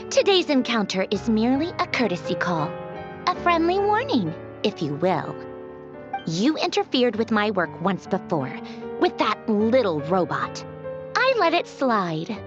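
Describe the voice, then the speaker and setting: A woman's voice: a little high, huge pitch swings, unhurried. A woman voicing a condescending villainess in a video game, theatrical and formal, with a mocking haughtiness. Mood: mocking menace. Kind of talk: video game character dialogue. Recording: dull game stream audio under music.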